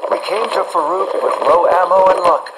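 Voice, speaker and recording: Guy playing a crazy game soldier rhyming his report, highish voice, super noisy field radio. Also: moderate pace, natural voice. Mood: unhinged, sing-song. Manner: sing-song rhyming delivery. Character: half-mad male soldier reporting in comic rhyme.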